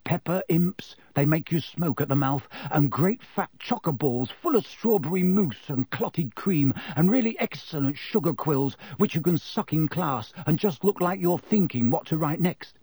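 A man voicing an impulsive, excitable young schoolboy with a casual way of talking in an audiobook. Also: fairly high pitch, normal speed, breathy edge, no echo. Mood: dreamy, enthusiastic.

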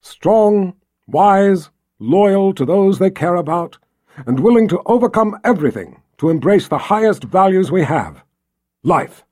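A man's voice: high-pitched, lively intonation, a little slow, mellow, pitched above the performer's own voice. Man playing a proud lord, ringing solemn oratory. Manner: ceremonial, oratorical, emphatic. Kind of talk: audiobook character acting.

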